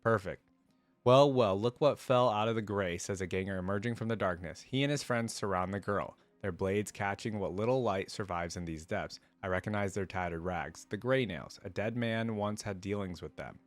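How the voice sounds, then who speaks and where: A man's voice: deep voice, normal speed, hardly any pauses, faint music behind. A man hosting a reaction video, reading a story aloud and adding casual asides.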